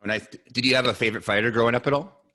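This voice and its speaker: A man's voice: mid-range voice, rapid-fire, pushed and bright. A man with a Canadian accent hosting a podcast and interviewing a guest in a casual, conversational way.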